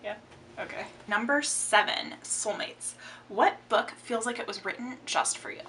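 A woman's voice: on the high side, measured pace, far from the mic, a little hiss or hum. A young woman chatting expressively about her favorite books in a casual vlog from her home room. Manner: chatty and expressive, gestures a lot. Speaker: book vlogger. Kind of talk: casual book tag chat. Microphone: camera framing, upper body.

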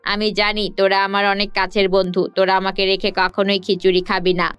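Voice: medium pitch, level tone, fast talker, fairly bright tone, clean tone, no echo, highs cut off, natural voice. Whining, greedy young ghost trickster acted out by one performer. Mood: wheedling. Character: male ghost Nandu, lazy, greedy, cheeky trickster. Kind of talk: Bengali children's cartoon voice acting. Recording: music behind the voice.

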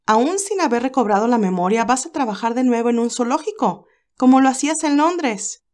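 A woman voicing a cheerful but anxious young nurse who talks in a lively, hesitant way. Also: fairly high pitch, a little fast, pitched above the performer's own voice, close to an everyday voice. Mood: worried.

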